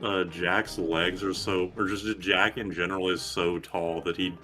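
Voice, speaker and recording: Deep voiced guy casually streaming a game, chatting away with music playing behind. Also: fairly even intonation, normal speed, bright, forceful tone. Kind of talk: casual game commentary chat.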